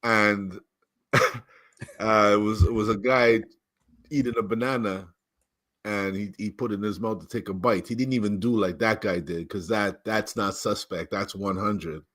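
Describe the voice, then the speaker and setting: A man's voice: fairly low pitch, steady tone, unhurried, a bit bright. A man co-hosting a casual chat, telling stories and laughing easily. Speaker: co-host.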